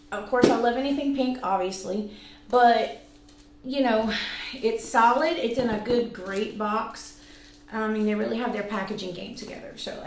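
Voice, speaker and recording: A woman of about forty chatting casually and informally to viewers as a vlog host reviewing products. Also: on the high side. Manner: informal, conversational, self-deprecating asides. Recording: echoey room, faint background noise.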